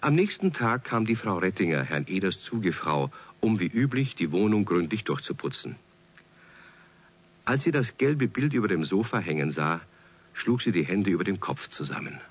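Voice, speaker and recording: A man's voice: deep voice, sing-song, normal speed, slightly rough. A man narrating a scripted children's story as the storyteller of a radio play.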